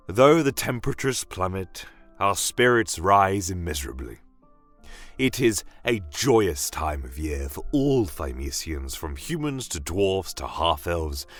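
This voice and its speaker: A man plays a genial alchemist doctor from a fantasy audio tale, with a deep voice that rises and dips expressively. He welcomes the listener in florid, formal storytelling, with music playing underneath. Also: fairly bright tone, exaggerated voice. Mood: warm, festive. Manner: formal, florid, theatrical delivery. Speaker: in-character narrator. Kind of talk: scripted in-character fantasy storytelling.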